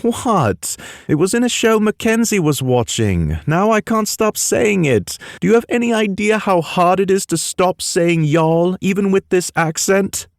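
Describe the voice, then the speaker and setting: A man's voice: high voice, lively intonation, unhurried, hardly any pauses, no room sound, clean studio sound, voice pushed up. A man voicing a playful, teasing young British man with a British accent.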